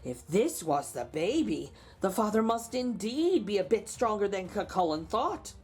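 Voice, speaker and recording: A woman's voice: medium pitch, sing-song, a little slow, a little mellow, husky. A woman storytime host narrating a picture book expressively, with chatty asides to young listeners. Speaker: storytime host reading aloud to children.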